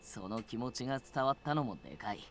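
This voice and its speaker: An actor playing an earnest, hot-blooded and easily flustered young man with rough casual speech in a visual novel game. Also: mid-range voice, expressive, rapid-fire, slight background noise, natural voice. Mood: heartfelt. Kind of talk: Japanese visual novel voice acting.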